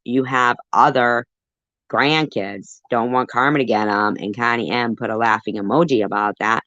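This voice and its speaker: Woman host going on and on defensively, sharp bright voice, dull dry lo-fi sound. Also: extremely low pitch, no echo. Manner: defensive and rambling, with many fillers. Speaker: commentary channel host. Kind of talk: livestream commentary.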